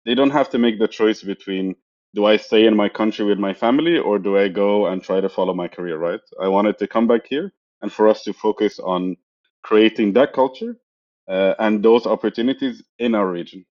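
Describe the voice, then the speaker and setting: A man's voice: on the low side, brisk. Reflective man on dull lo-fi audio. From Egypt. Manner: reflective, with many fillers and restarts. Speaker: guest. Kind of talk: casual interview answers.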